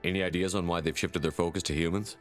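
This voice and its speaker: An actor playing a resolute, blunt space marine commander in a sci-fi game, speaking firmly and directly. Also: male voice, low-pitched, fairly even intonation, fast talker, faint music behind, close to an everyday voice. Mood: determined, questioning. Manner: firm, direct. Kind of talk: cinematic sci-fi game dialogue.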